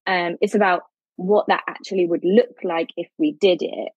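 A woman's voice: on the low side, steady tone, moderate pace, darkish tone, husky, no echo, dull audio. A woman, a legal academic, explaining policy with everyday analogies and frequent fillers in a podcast discussion.

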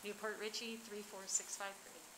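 A woman's voice: medium pitch, fairly even intonation, normal speed, background noise. A middle-aged woman giving a public comment speech as a teacher, in emphatic, short declarative sentences on a meeting room microphone. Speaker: teacher addressing a school board. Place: large indoor board meeting chamber.

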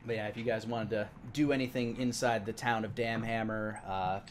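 A man in his thirties narrating a tabletop game as dungeon master, halting and pausing as he goes. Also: fairly low pitch, fairly even intonation. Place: indoor room, online game stream. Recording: clear background noise on mic.

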